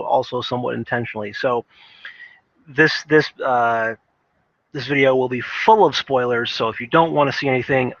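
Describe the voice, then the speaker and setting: Bright, forceful man giving halting game commentary. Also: mid-range voice, creaky, no room sound, muffled recording. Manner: halting, repeats and restarts phrases. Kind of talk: unscripted opinion commentary.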